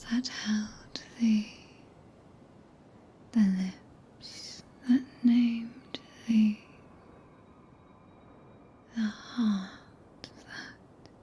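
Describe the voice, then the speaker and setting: A woman with a British accent playing a tender, possessive, regal witch in a soft breathy ASMR whisper, with archaic, stately phrasing. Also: medium pitch, steady tone, extremely slow, pauses often, a little hiss or hum. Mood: possessive, soothing. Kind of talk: ASMR roleplay. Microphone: close binaural microphone.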